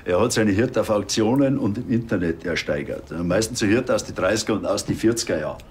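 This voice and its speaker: A man's voice: fairly low pitch, quick pace, close to an everyday voice. A man playing a plain-spoken small-town hat maker in a TV crime comedy, with a Bavarian accent and a matter-of-fact, colloquial manner. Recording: some background ambient noise.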